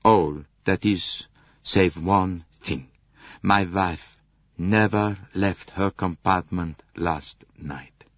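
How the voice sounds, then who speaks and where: A man's voice: low-pitched, drawn-out words, dry recording, dull audio, exaggerated voice. A man voicing a proud, hot-tempered count in an audiobook, a protective husband speaking earnestly and emphatically. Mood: pleading, passionate.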